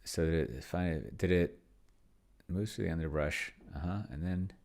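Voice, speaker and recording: A middle-aged man with a low voice talks casually as he plays a tabletop game on his own. He rambles and halts, half muttering his thoughts aloud, with computer keys clacking as he types. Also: gravelly, a little mellow. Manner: rambling, halting, muttering to himself. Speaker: host of a solo roleplaying video. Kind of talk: casual thinking-aloud commentary while playing a tabletop game. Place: at home, at a computer.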